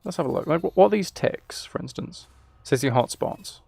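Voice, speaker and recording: A man's voice: fairly high pitch, lively intonation, normal speed, gravelly, darkish tone, breathy edge, no room sound. A man streaming a video game, giving casual commentary with dry, flippant, rambling asides.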